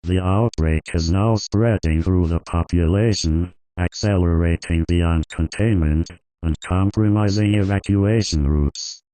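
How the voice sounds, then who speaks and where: A man delivers a scripted emergency alert in a deep voice. His delivery is formal, flat and authoritative, with a subdued, dark tone. The sound is very dry, with no room to it. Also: unhurried, hardly any pauses, dry recording, low-quality recording. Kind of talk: scripted emergency broadcast narration.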